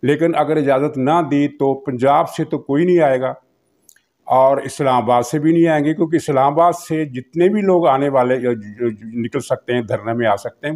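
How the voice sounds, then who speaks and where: A man's voice: medium pitch, a little mellow. A man with a Pakistani accent giving unscripted political commentary, explaining things and repeating phrases for emphasis.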